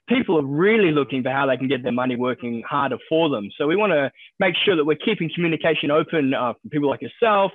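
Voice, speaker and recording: A man's voice: fairly high pitch, no room sound, phone-quality sound. A man from New Zealand with a New Zealand accent, a stockbroker talking casually as a podcast guest, upbeat and often restarting his sentences. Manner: quick, upbeat, repeats words when starting sentences. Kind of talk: casual interview talk.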